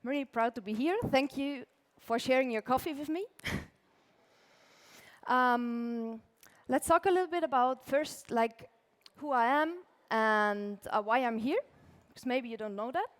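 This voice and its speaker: Chatty Austrian woman with a bright voice. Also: high voice, measured pace, long reverb tail. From Austria (Tyrol). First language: German. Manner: casual, chatty, many "like" fillers, laughs lightly. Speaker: conference speaker, illustrator. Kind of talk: conference talk. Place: conference stage.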